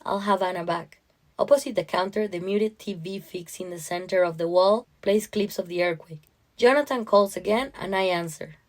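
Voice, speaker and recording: A woman narrates a literary short story in the first person. Her delivery is measured and expressive, with a mid-range voice suited to reflective prose. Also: mid-range voice.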